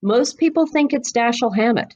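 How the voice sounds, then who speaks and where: A woman's voice: fairly high pitch, moderate pace, darkish tone, muffled recording. A woman speaking as an expert guest in a podcast interview, explaining things in an emphatic, conversational way.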